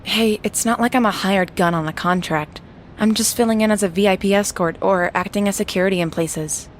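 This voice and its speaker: A woman's voice: medium pitch, brisk, slight background noise, natural voice. A woman acting a tough, cynical security guard in an audio drama, blunt, serious and protective. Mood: wry, steady. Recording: music behind the voice. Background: background music.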